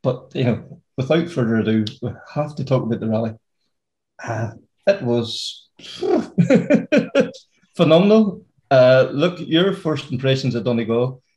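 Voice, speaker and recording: Mellow-voiced podcast host. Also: male voice, mid-range voice, expressive, measured pace, rough recording. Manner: chatty, upbeat, many fillers. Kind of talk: casual podcast chat.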